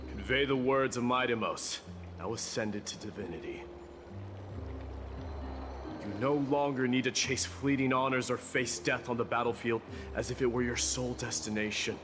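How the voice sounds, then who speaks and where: A man's voice: mid-range voice, normal speed, long pauses, lightly acted. An actor playing a solemn, resolute warrior king turned god in a video game, speaking in a grave, commanding, formal way. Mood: solemn, exhorting. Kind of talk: dramatic video game voice acting. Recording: loud music under the voice.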